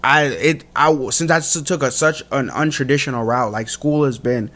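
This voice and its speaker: Man, late twenties, medium pitch, earnest, encouraging, slangy, casual podcast guest. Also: normal speed, fairly bright tone. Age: late 20s. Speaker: guest, law student.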